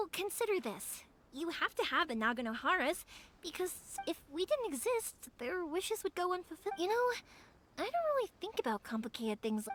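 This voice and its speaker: A bubbly young fireworks girl from a game, high and bouncy, earnest and giggly. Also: a bit bright, slight background noise. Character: cheerful young woman fireworks maker, warm and lively. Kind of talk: game cutscene voice acting.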